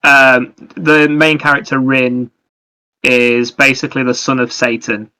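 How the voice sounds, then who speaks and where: A man with a bright, forward voice talks casually as a podcast co-host. His pitch stays fairly flat while he explains things in a rambling, enthusiastic flow. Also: a little slow, poor audio. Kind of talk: casual chat about anime and games.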